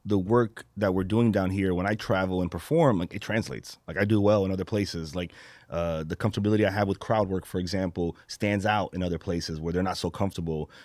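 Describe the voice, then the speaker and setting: Fast-talking, low-voiced man from Brazil. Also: steady tone, darkish tone, dry recording, studio quality. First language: Portuguese. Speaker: podcast host and stand-up comedian. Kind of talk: casual podcast chat.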